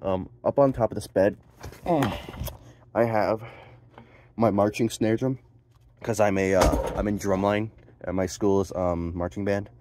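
Low-pitched teenage guy, noisy phone audio. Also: steady tone, slow pace, long pauses. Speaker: vlogger. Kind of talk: casual vlog chat. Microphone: phone at arm's length. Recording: noisy handheld phone recording.

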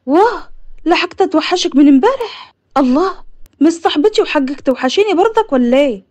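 A woman's voice: sits high, expressive, a little fast, darkish tone. A woman voicing a chatty, playful young female friend with an Upper Egyptian accent, teasing and bubbly, in an audio story. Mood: playful, affectionate.

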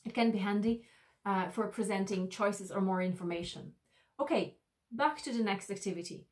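A woman's voice: medium pitch, moderate pace. Woman giving an instructional walk-through. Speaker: instructor presenting an e-learning tutorial.

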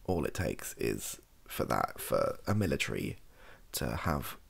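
A young British man giving an improvised, softly spoken, casual monologue with rambling, dry, offhand humour. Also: very deep voice, sing-song, measured pace, gravelly, husky. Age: young adult. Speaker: host of a comedy ASMR channel. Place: small cluttered room indoors.

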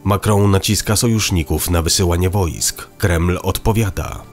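A man reading scripted news narration in an even, measured way as a voice-over. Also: deep voice. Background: faint background music.

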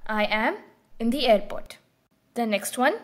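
Calm woman teaching a language lesson. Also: a little high, unhurried. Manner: calm, clear teaching prompts. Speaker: language teacher and channel host. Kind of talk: scripted beginner lesson narration.

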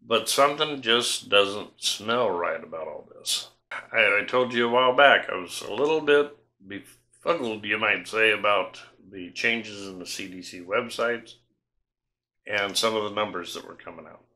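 Bright-voiced older man. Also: on the low side, a little slow. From Tennessee. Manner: folksy, suspicious, rambling with fillers. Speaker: homestead vlog host. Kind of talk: casual opinion talk to camera. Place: home studio room indoors.

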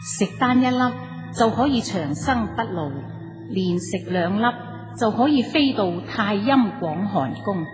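An actress playing a coaxing, insistent inner voice of temptation in a radio drama, in cavernous reverb. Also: female voice, mid-range voice, lively intonation, unhurried, natural voice. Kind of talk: Cantonese radio drama acting. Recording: long cavernous reverb over faint music.

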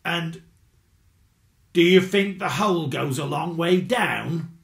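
A man's voice: fairly high pitch, slow, creaky, fairly bright tone, echoey room, pitched above the performer's own voice, heavily acted. A man voicing an exasperated male badger leader, patient and strained.

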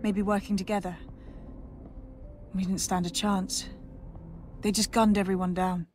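A woman's voice: mid-range voice, little pitch movement, unhurried, darkish tone, a little hiss or hum, lightly acted. A woman playing a battle-weary, determined resistance leader in a video game, earnest and grave. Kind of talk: video game character acting.

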